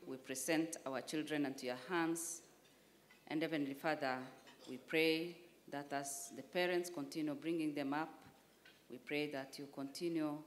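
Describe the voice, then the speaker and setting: A person leading a formal public prayer in earnest petitioning phrases. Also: mid-range voice. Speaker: prayer leader. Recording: faint background noise and ambience.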